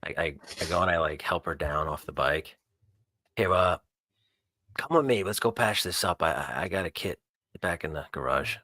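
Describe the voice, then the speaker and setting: A man playing a tabletop roleplaying game, improvising actions and in-character speech in a halting, repetitive way. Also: low voice, sharp tone, dry recording, clean studio sound. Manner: halting, repeats words.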